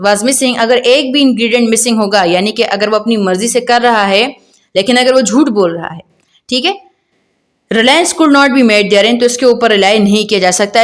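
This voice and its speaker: A woman with a Pakistani accent giving an explanatory law lecture, reading out and paraphrasing in a didactic way, often checking understanding with questions. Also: fairly high pitch.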